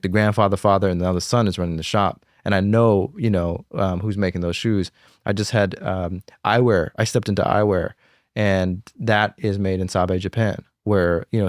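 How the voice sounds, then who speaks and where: A man's voice: fairly low pitch, dry recording, clean studio sound. A young American man, a fashion designer, talking about his brand in a casual interview, packing his sentences with "like" and "you know". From United States. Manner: fast, filler-heavy talk with many "like"s.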